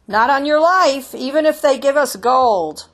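Shrill, excitable barnyard fowl. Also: female voice, unhurried. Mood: excited, defiant. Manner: shrill, clucking exclamations. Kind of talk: storybook character voice.

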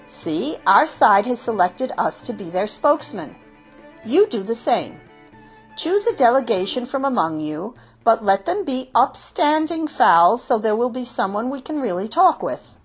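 A woman's voice: on the high side, big pitch swings, a little slow, soft background music, voice pushed up. Woman voicing an earnest old rabbi. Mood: persuasive. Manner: pleading, coaxing, rises and falls. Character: earnest old male rabbi, patient leader.

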